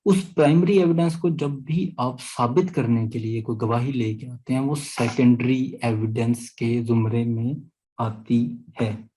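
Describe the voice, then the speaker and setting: Mellow Pakistani man lecturing. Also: mid-range voice, low-quality recording. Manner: explains step by step, checking understanding often. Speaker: law lecturer. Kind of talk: explanatory class lecture with student questions.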